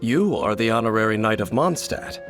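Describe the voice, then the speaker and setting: A cool, aloof wanderer. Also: male voice, on the low side, lively intonation. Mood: guarded, calm. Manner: measured, wry, reserved. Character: calm aloof male wanderer, guarded and cynical. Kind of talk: video game voice acting. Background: game music.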